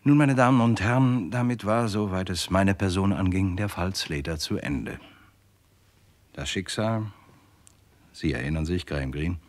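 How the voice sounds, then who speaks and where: Low-voiced, polite writer narrating a crime. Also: male voice, unhurried. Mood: wry, composed. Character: Edgar Dickens, male writer and wry crime narrator. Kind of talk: 1960s German radio crime drama acting.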